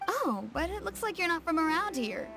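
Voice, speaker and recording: A woman's voice: very high-pitched, very animated, normal speed, creaky, sharp tone, full character voice. An actress playing a poised, articulate townswoman who explains things in scripted video game dialogue. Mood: composed, explanatory. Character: poised, well-spoken local townswoman, gently correcting. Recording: faint music behind.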